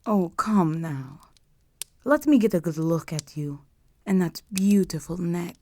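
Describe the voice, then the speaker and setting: A woman's voice: lowish voice, lively intonation, measured pace, warm tone. A woman playing a regal, possessive vampire countess in an intimate audio roleplay, speaking to the listener in a formal, imperious and seductive manner. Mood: seductive, menacing.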